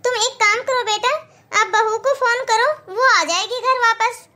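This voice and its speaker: A woman's voice: very high voice, a little slow, pushed and bright, full character voice. A woman voicing a selfish, self-pitying mother of a grown son in a cartoon, with a complaining, melodramatic manner. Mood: coaxing. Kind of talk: cartoon moral story acting.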